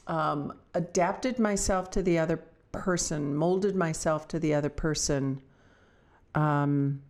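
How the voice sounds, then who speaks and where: A woman co-hosting a podcast in casual, unscripted chat, reflective and halting as she searches for words. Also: deep voice, a little mellow. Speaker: podcast co-host.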